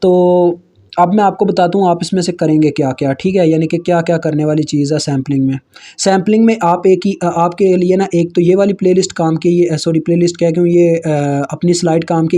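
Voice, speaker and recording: Young Pakistani tutor, 20s, high but mellow voice, casual and chatty, slows down to read textbook lines. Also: male voice. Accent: Pakistani Urdu. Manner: informal, addresses viewers as kids, frequent fillers and restarts; slowly reads English textbook lines aloud. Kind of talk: casual explanatory lecture over slides.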